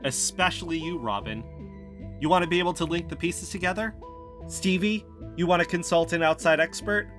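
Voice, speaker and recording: A man's voice: a little high, music in the background, close to an everyday voice. A man playing a determined amateur investigator who argues persuasively in an audio drama. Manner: persuasive, deliberate. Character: Kyle, determined amateur investigator pitching plans.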